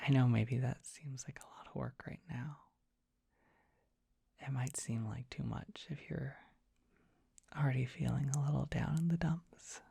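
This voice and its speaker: Someone doing a dorky dragon boyfriend asmr, mellow crackly whisper, bashful n hesitant, super gentle and comforting. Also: medium pitch, steady tone, unhurried, long pauses, dry recording, studio quality, full character voice. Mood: bashful, soothing. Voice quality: soft breathy whisper. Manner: tender, bashful, hesitant, softly reassuring. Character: dorky, gentle young dragon boy, shy, devoted comforting partner. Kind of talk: ASMR roleplay, intimate comforting monologue.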